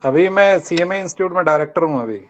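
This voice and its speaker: A man's voice: fairly high pitch, quick pace. Older man giving earnest advice over a dull online call. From Rajasthan, India. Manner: earnest, repeats points and asks students to answer back. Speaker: guest speaker at a college workshop. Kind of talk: motivational talk to students. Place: online video meeting.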